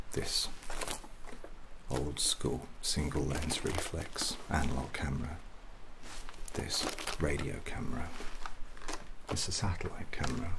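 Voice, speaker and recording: A man speaking softly and often whispering in a halting, deadpan way, as a gentle, odd street photographer in an ASMR roleplay. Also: a bass voice. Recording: close recording with clear background noise.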